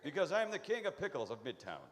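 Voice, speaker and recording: A man's voice: on the high side, very animated, fast. A man playing an eccentric, proud and touchy pickle fanatic who lectures boastfully in a live radio comedy. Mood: proud, excited. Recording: noisy, reverberant hall stage recording.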